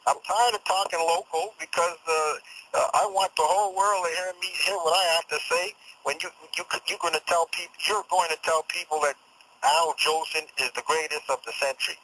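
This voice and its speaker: A man phoning in to a radio show, arguing in a challenging, agitated way with halting, repeated words. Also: on the high side, steady tone, sharp tone, no room sound. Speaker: phone-in caller and regular commentator. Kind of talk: opinionated phone-in argument. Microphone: telephone. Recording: phone call on radio broadcast.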